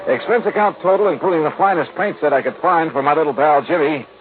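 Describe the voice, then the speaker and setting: A man's voice: fairly high pitch, quick pace, lightly acted. A man playing a shrewd, wry insurance investigator in a 1950s radio detective drama. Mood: wry, narrating. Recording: tinny old radio recording, faint noise.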